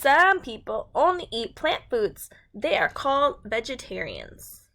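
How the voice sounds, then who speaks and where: A woman's voice: a little high, very animated, measured pace, a bit bright. A woman reading a picture book aloud to young children and chatting to them in simple, encouraging language.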